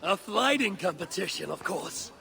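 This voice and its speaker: A man's voice: high-pitched, animated, moderate pace, gravelly, bright, forceful tone, heavily acted. A man playing a bold, competitive, teasing Viking warrior in a video game. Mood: proud, challenging. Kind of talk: video game cutscene dialogue.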